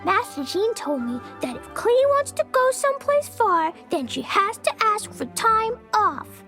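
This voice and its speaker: A very high, childlike voice of a brave little girl knight in a video game. She speaks with earnest innocence and lively swings in pitch. Light music plays behind her. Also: unhurried, exaggerated voice. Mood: determined, innocent. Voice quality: small childlike voice. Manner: earnest, refers to herself in third person. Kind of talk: scripted video game dialogue.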